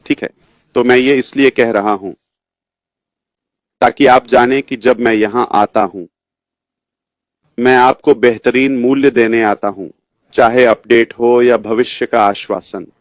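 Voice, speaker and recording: Male executive, fifties, medium pitch, slow informal motivational address to members, dry close sound. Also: fairly even intonation, no room sound. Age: 50s. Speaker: company chief executive giving an update. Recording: dull lo-fi audio, dry.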